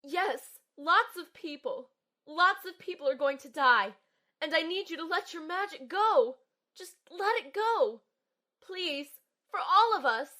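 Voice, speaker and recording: A woman's voice: very high-pitched, expressive, unhurried, bright, forceful tone, full character voice. A woman playing an earnest young healer in an audio drama, telling and acting out her own ordeal with soothing, emotionally involved delivery. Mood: pleading. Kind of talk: amateur audio drama, first-person narration and dialogue.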